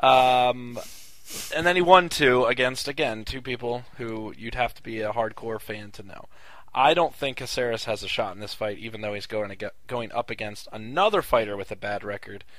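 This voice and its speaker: A man's voice: medium pitch, moderate pace, fairly bright tone, dry recording, low-quality recording. Wry male podcast co-host, dry sound. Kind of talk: casual sports chat.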